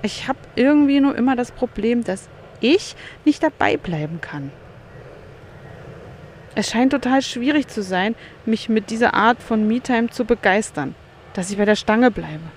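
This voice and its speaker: A woman's voice: a little high, animated, measured pace, slight background noise. A woman hosting a solo podcast, thinking aloud in a candid, self-questioning way, recorded outdoors in a beach chair by the sea. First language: German. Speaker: podcast host. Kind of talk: solo reflective podcast monologue.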